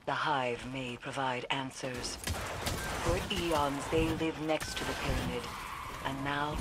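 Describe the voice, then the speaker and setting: A woman's voice: low-pitched, noisy background. An actress playing a grave, haunted woman mystic in a video game, speaking in a solemn, cryptic, theatrical way. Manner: solemn, theatrical, deliberate delivery. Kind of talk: dramatic video game voice acting.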